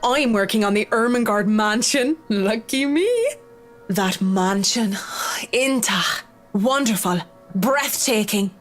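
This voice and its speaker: A woman's voice: mid-range voice, slow pace, natural voice. Woman acting a wry, self-assured designer over music. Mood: passionate, proud. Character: confident, witty woman interior designer, proud and passionate. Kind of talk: visual novel voice acting. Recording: music behind game dialogue.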